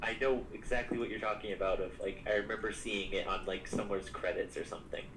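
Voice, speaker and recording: A man streaming a modded game, chatting casually and jokingly with a friend. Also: fast. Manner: chatty, animated, jokey squeaks. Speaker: Minecraft modpack streamer. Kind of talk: casual gaming chat. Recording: echoey, distant mic with clear background noise.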